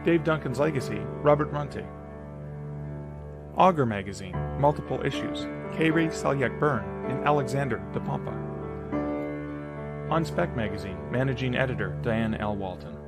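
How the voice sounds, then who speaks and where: A man's voice: medium pitch, normal speed, loud music behind the voice. A man hosting a live-streamed awards ceremony over a video call, reading nominees in a jokey, upbeat way. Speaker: awards host.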